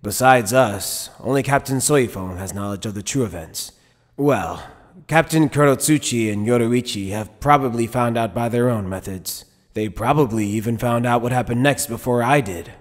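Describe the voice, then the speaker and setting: A man playing a laid-back, playful older military commander in an anime dub, speaking in an unhurried, mild way. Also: mid-range voice, big-room sound, natural voice. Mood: measured concern. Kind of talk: anime fan dub acting.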